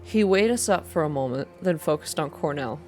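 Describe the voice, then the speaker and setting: A woman with a low voice reads a story as ASMR narration. Music plays softly behind her as she moves through the narrative. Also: lively intonation, a little fast. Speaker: reader. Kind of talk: ASMR reading of a story game.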